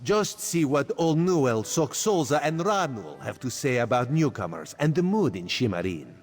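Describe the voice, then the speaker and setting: A man's voice: medium pitch, very animated, normal speed. An actor playing a sly, playful cat-man spy in a video game who refers to himself in the third person, over faint game music. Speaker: spymaster quest giver. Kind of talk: video game character dialogue.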